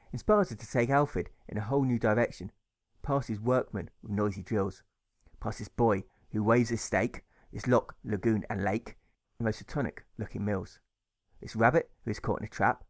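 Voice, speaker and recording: A man's voice: lowish voice, no room sound. Man narrating rhythmically, dry close sound. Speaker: author reading his own audiobook. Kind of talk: scripted storybook narration in rhyme.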